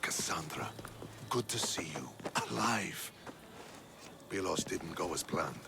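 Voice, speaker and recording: A man playing a battle-worn, loyal Spartan soldier in dramatic game dialogue, measured and steadfast. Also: low-pitched, lively intonation, gravelly, background noise, heavily acted. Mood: warm relief.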